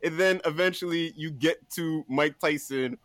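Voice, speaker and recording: Man, podcast guest. Slightly higher-pitched, dry close sound, playful and emphatic, pitching his list like a showman. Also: moderate pace, fairly bright tone, no echo. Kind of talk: casual comedic list pitch.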